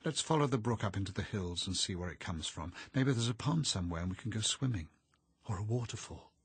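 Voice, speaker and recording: A man's voice: fairly low pitch, lively intonation, fairly quick, slightly rough, no echo, voice pushed up, full character voice. A man voicing an idle, playful, ironic young man in an audiobook. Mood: idle, eager.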